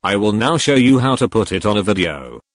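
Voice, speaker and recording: A man's voice: fairly low pitch, sing-song, a little fast, no echo. Robotic male TTS host for a tutorial, stiff delivery, very dry sound. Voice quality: synthetic, robotic text-to-speech. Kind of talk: scripted tutorial narration.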